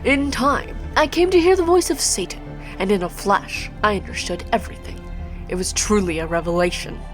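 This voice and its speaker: A woman's voice: mid-range voice, moderate pace, faint music behind, close to an everyday voice, rough recording. A woman reading the part of a mad, bitter male villain sorcerer in a grandiose, formal video game monologue. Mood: grandiose, scornful.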